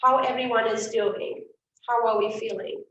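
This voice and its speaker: A woman's voice: fairly high pitch, fairly even intonation, normal speed, a bit bright, highs cut off. A middle-aged woman from Romania with a Romanian accent, giving an earnest live talk to an online event audience, with frequent fillers and repeated words. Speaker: event speaker and coach. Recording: roomy, distant from the mic.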